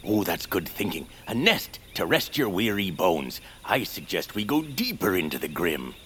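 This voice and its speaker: An actor playing an eccentric, socially awkward bearded hermit creature in a family audio drama, rambling and friendly. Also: male voice, deep voice, big pitch swings, moderate pace, a bit bright, slight background noise. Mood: chatty, quirky. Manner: rambling, muttering, quirky. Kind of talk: family audio fiction character acting.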